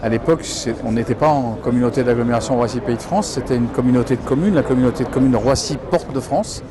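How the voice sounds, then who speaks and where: A man's voice: medium pitch, fast talker, a crowd in the background. A man, a local elected official, explaining things in an interview in colloquial, hesitant phrasing.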